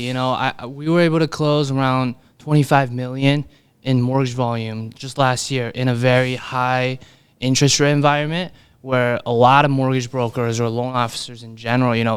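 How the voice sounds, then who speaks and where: A man's voice: medium pitch, fairly even intonation, normal speed, fairly bright tone, smooth. A young man from New York City whose first language was Chinese, chatting casually as a podcast guest with lots of "you know" fillers and restarts. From New York City, USA. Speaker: podcast guest, mortgage broker. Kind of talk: casual interview chat. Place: podcast studio. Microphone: podcast microphone.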